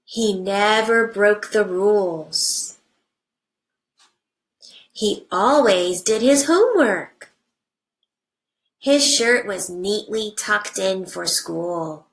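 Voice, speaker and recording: A woman teacher reading a picture book aloud to young children, with simple deliberate phrasing and hushed asides. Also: mid-range voice, animated. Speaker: first grade teacher.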